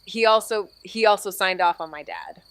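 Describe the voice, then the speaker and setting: An impulsive young woman amateur detective in a noir audio drama, voiced by an actress. Her voice sits at a middle pitch, and she talks casually, with slang and a wry, irreverent edge. The acting is natural and conversational. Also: normal speed, fairly bright tone, clear, resonant tone, close to an everyday voice. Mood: subdued.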